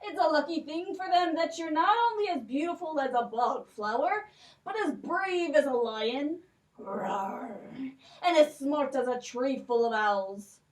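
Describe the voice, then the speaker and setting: A woman's voice: high voice, animated, unhurried, echoey room, recorded from a distance. Woman doing a doting poor old father. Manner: folksy old-country lilt, doting. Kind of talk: children's puppet show.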